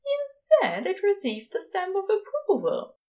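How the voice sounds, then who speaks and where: A woman's voice: very high-pitched, wildly swooping pitch, measured pace, warm tone, voice pushed up, heavily acted. A woman voicing a prim, curious, fond male angel with polite, old-fashioned phrasing in a fan fiction audiobook. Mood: curious, gently coaxing.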